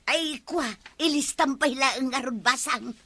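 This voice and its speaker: A woman's voice: high voice, normal speed, pushed and bright, husky, dry recording, heavily acted. A woman playing a grumbling older village woman in an Ilocano comedy drama. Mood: pleading.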